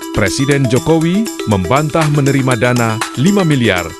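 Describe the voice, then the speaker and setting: A man's voice: medium pitch, animated, moderate pace, music in the background. A man reading scripted television news as an anchor.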